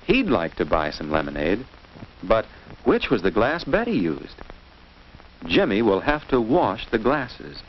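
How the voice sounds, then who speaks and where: An American man narrates a 1950s instructional film for children in a lowish, very animated voice. He speaks didactically from a script, posing simple questions to his young audience. The old soundtrack is dull and muffled. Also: unhurried, a little hiss or hum.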